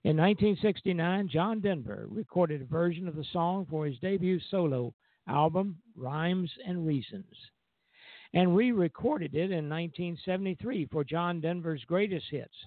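Male radio music host, scripted narration, creaky voice, dry close sound, tinny phone-like audio. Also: on the high side, animated, normal speed, dry recording. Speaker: radio show host introducing records.

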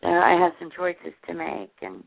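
A hoarse woman calling in to a radio show, speaking haltingly and sounding frustrated. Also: fairly low pitch, very animated, no room sound. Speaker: caller. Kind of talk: phone call to a radio show. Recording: tinny phone line, dry.

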